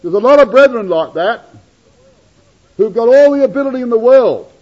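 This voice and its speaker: A man preaching in a high, animated voice that swoops and climbs through each phrase. His tone is husky, and the recording sounds dull and lo-fi. He addresses his listeners as brethren and sisters. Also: normal speed. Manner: dramatic rises and drops in pitch and loudness. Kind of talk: sermon.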